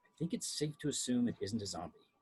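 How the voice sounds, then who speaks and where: A man reading a clinical, unruffled science officer in an amateur table read, measured and matter-of-fact. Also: mid-range voice, expressive, a little mellow, breathy edge. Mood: insistent.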